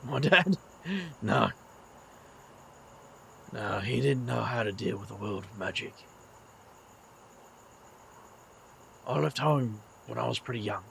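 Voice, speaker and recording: An actor playing a weary, kindly middle-aged British magician with a gravelly voice and a slangy, wry way of talking in an audio drama. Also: male voice, mid-range voice, unhurried, long pauses, pushed and bright, a little hiss or hum, exaggerated voice. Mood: reflective.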